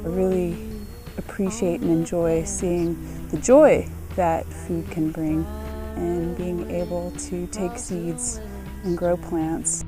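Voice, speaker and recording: A woman's voice: lowish voice, fairly even intonation, takes it slowly. A young woman farmer speaking earnestly in an interview, with a few fillers. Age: young adult. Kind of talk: casual interview. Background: music.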